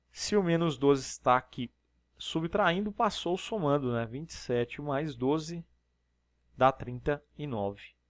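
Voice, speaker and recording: Brazilian male math tutor, slightly high voice, dry close voice-over, patient step-by-step explainer. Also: dry recording. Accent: Brazilian Portuguese. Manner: explanatory, step-by-step, frequent tag questions. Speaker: math teacher. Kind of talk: exam problem walkthrough. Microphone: voice-over on screen recording.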